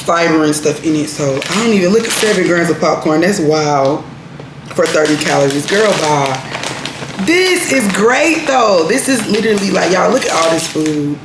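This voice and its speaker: A young woman, chatting casually and laid-back in her vlog, laughing easily. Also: fairly low pitch. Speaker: vlogger. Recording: echoey room with noise.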